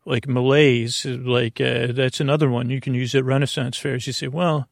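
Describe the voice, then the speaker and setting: Gravelly, creaky man rambling at bedtime. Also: medium pitch, level tone, no echo, studio quality. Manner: hesitant, halting, trailing off into tangents. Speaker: host of a sleep podcast. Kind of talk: rambling, meandering bedtime monologue.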